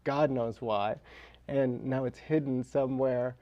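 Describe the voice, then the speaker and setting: A man speaking as an interview guest and author, telling anecdotes with dry, deadpan humour. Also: medium pitch, unhurried, dull audio. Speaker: interview guest, local novelist.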